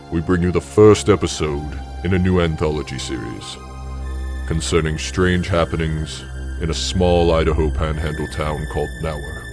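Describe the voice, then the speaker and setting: A man announcing a show in a formal, deliberate, theatrical scripted radio style. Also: extremely low pitch. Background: new-age music.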